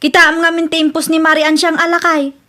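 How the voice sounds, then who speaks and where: Woman acting as gossipy village wife, high-pitched voice, nosy, comedy radio drama. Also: monotone, brisk, sharp tone.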